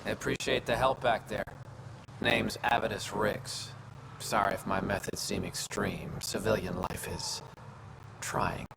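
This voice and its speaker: An actor playing a gruff, middle-aged former special agent in a video game, dry, clipped and world-weary, with a gravelly voice. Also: male voice, on the low side, steady tone, unhurried. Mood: dry, wry. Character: gruff, jaded veteran alien special agent. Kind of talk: video game dialogue acting. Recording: loud ambient noise under voice.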